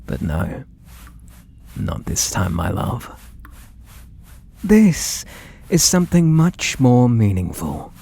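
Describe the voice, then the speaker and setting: A British man voicing an obsessed, possessive artist and menacingly tender lover in a breathy, theatrical ASMR roleplay, drifting into whispers. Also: mid-range voice, big pitch swings, takes it slowly, long pauses, dark tone, dry recording, exaggerated voice. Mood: menacing, teasing. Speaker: audio roleplay voice actor.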